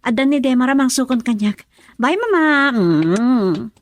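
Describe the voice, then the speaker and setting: Radio drama daughter in love, actress, high voice, very animated delivery, earnest with her mother. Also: measured pace, slight background noise. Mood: brisk. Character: lovestruck grown daughter standing up to her mother. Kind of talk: Ilocano radio drama acting.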